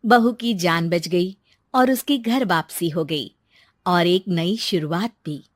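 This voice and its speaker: A woman's voice: on the low side, takes it slowly, dry recording, clean studio sound. A woman reading scripted storybook narration as the narrator of a domestic tale.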